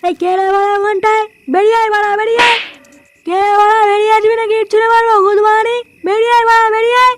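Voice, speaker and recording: A man voicing an irritable, foul-mouthed, bossy card player in a comedy cartoon, with an artificially pitched-up voice. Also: flat delivery, rapid-fire, a bit bright, a little hiss or hum. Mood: shouting, threatening. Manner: gruff cursing, blustering.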